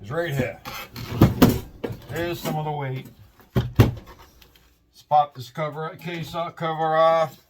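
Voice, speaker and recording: A man's voice: a little high, steady tone, slow pace, pauses often. A middle-aged man with a Massachusetts accent, chatting in a rambling, upbeat way while taking apart scrap in a shed at night. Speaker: scrapping video host. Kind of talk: casual hands-on narration. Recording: distant mic, faint noise.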